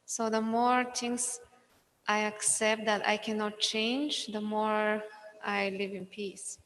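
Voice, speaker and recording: A woman from Bulgaria, an audience member sharing a personal experience hesitantly, with pauses and restarts. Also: mid-range voice, little pitch movement, fairly bright tone. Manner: hesitant, many fillers and restarts. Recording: long reverb in a hall.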